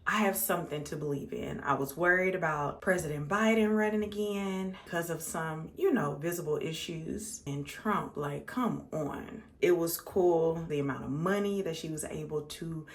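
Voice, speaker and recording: A woman's voice: deep voice, moderate pace, hardly any pauses. A 35-year-old American woman chatting casually and conversationally to her viewers as a makeup vlogger, repeating words for emphasis. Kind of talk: casual chat to viewers while doing makeup.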